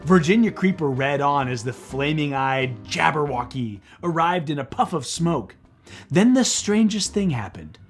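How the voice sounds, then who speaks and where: A man's voice: a little high, animated, unhurried, faint music behind. A man reading a children's book aloud as theatrical narration, dramatic and playful.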